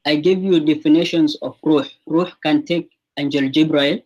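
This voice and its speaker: Man, somewhat high voice, defensive and explaining, dull lo-fi sound. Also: fairly even intonation, normal speed, a little mellow. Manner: defensive, explains, complains of being cut off. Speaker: debater. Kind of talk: heated debate.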